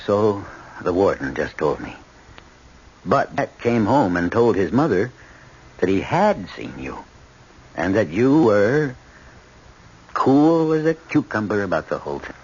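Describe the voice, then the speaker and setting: A man's voice: fairly low pitch, sing-song, measured pace, pauses often, darkish tone, breathy edge, full character voice. An actor playing a troubled middle-aged judge and father, earnest, formal and stammering, in a vintage radio drama. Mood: probing, uneasy. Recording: dull, noisy old radio recording.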